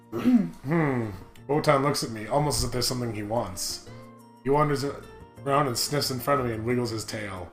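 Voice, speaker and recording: A man's voice: medium pitch, lively intonation, moderate pace, pauses often. A man reading visual novel text aloud as a let's play host, with casual joking commentary. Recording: roomy echo with music behind. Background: game music.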